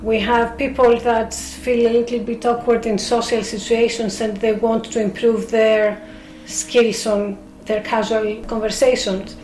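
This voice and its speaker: A woman with a Greek accent, a speakers club member explaining carefully as a radio interview guest, pausing on fillers. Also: on the high side, a little mellow, roomy sound, slight background noise, rough recording.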